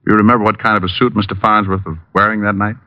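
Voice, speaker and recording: A man's voice: on the low side, level tone, quick pace, natural voice. An actor playing a wry, probing male private detective in 1940s radio drama style. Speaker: lead actor and narrator. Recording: dull old radio recording with music.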